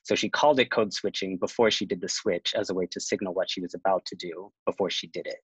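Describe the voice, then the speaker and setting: A man's voice: deep voice, steady tone, fairly bright tone, breathy edge, no room sound. Man giving a dry academic talk. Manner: measured, reads from prepared notes. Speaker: academic presenter. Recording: dull, dry lo-fi sound.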